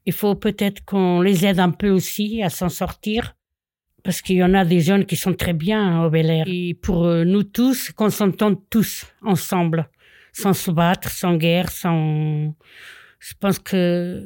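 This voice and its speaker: Woman, 60s, from Portugal, resident testimony, lower voice, dry close sound, hesitant. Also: fairly even intonation, takes it slowly, a little mellow, no echo. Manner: hesitant, many fillers and restarts. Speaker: local resident. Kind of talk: interview testimony.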